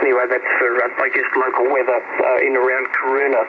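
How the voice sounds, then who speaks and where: A man talks haltingly over a shortwave radio as an amateur operator, pausing often. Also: medium pitch, monotone. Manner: halting, hesitant delivery with long pauses. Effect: shortwave radio transmission. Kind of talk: ham radio contact. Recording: very noisy, muffled shortwave radio signal.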